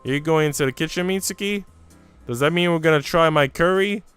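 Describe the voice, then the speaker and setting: Man voicing an eager, high-pitched little girl. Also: brisk, fairly bright tone, clean tone, faint music behind, natural voice. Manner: childlike, eager. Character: excitable eager little girl, sweet and insistent. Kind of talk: visual novel read-aloud.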